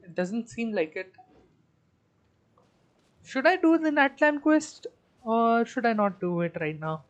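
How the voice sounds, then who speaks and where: A woman streaming a video game, casually thinking aloud and talking to her chat. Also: medium pitch. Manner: hesitant restarts while counting. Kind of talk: casual live-stream commentary.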